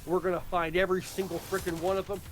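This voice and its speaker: A man talking casually about a game story, haltingly, repeating words as he thinks aloud. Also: sits high, level tone, noisy background. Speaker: host presenting his audio drama.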